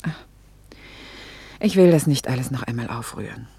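Radio drama role: well-off wife in middle age, mellow and husky-breathy, reflective, self-critical, wistful. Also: female voice, very deep voice, expressive, moderate pace, no echo, exaggerated voice. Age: middle-aged. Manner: reflective, hesitant, confessional. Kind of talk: German radio drama monologue and dialogue.

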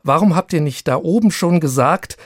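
A man's voice: on the high side, animated, moderate pace, no room sound, studio quality. A man from Germany, co-hosting a radio feature with scripted narration and interview questions. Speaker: co-host of a radio programme.

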